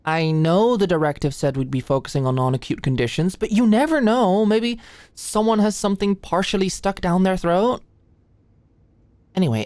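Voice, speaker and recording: A woman's voice: low-pitched, darkish tone, no room sound, close to an everyday voice. A woman playing an anxious, flustered young medical student in an intimate ASMR roleplay, with a hesitant, stammering, playfully defensive way of talking. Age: young adult. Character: anxious, flustered, endearing short female medical student study buddy.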